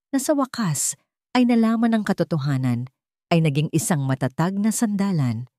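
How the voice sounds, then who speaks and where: Low-pitched woman narrating with very lively intonation. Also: dry recording, clean studio sound. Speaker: story narrator. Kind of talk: dramatic scripted story narration.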